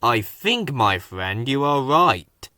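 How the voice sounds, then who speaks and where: A man's voice: medium pitch, sing-song, slow, bright, forceful tone, dry recording, studio quality, full character voice. A man voicing a kindly, patient foreman engine in a children's storybook. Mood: gentle, measured.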